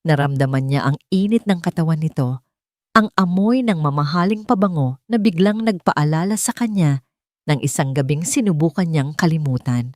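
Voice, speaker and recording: A woman narrates a scripted romance story in short, suspenseful, deliberate phrases. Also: low-pitched, animated, a little mellow, no room sound, studio quality. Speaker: narrator of romance audio stories.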